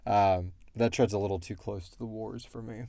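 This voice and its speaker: A man's voice: lowish voice, fairly even intonation, normal speed, dark tone, no room sound, clean studio sound. An American man co-hosting a casual question-and-answer chat in an informal, rambling way. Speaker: co-host and writer of a horror fiction series. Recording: clear background noise and ambience.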